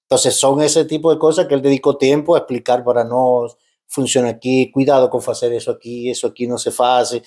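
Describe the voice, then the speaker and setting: A man's voice: medium pitch, level tone, a little fast, dark tone. A man in his fifties from Cuba with a Cuban accent, telling his story as an interview guest in a blend of Spanish and Portuguese with many restarts and tag questions. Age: 50s. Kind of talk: casual interview talk.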